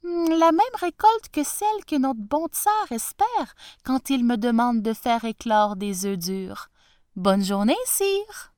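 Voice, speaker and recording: A woman voicing a clever young peasant daughter who stays calm and witty. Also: high voice, unhurried, no echo, clean studio sound, voice pushed up. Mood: sly.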